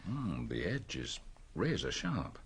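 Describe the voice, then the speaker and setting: An actor playing a reserved, dry village doctor in a radio drama, with a husky voice. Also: male voice, sits low, wildly swooping pitch, slow pace, full character voice. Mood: matter-of-fact. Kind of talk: radio drama acting and narration.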